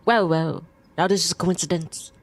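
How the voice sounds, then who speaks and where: A woman's voice: lowish voice, fairly even intonation, normal speed, lightly acted. A woman voicing a friendly male neighbour in a visual novel, speaking politely and conversationally. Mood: friendly concern. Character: friendly, chatty male neighbour out walking his dog.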